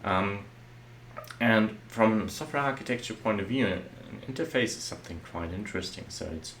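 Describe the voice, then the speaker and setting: A man who grew up speaking German, a software architect giving a relaxed, loosely worded talk over his slides, with many fillers. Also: lowish voice, normal speed, slight background noise.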